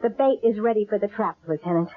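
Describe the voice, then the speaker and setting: A woman's voice: mid-range voice, fast talker, close to an everyday voice. A woman playing a wry, theatrical stage psychic in an old-time radio mystery. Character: weary, wry female stage psychic, uneasy under threat. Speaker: actress. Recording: muffled, narrow-band old radio recording.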